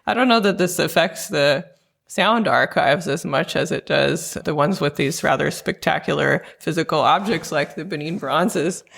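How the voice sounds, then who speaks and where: Gravelly-voiced woman posing a careful question. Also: fairly low pitch, a bit bright. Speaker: podcast co-host. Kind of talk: interview question.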